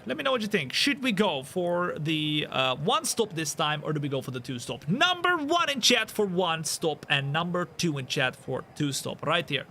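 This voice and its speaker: Energetic male streamer. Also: a little high, creaky, a little hiss or hum. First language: Dutch. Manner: energetic, hype shout-outs, rambling asides. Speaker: sim racing streamer. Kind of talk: live stream chat while gaming.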